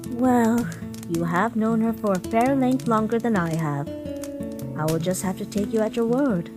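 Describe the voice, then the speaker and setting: A woman voicing a gentle, motherly monster queen in a comic dub, speaking with formal, measured politeness. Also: on the high side, lightly acted. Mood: gentle concern. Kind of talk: comic dub character acting. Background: music.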